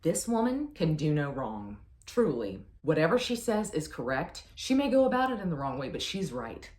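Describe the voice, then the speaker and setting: A woman's voice: deep voice, sing-song, moderate pace, darkish tone. A woman chatting casually as a book reviewer, effusive and emphatic with a playfully self-deprecating manner. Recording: roomy, echoey sound.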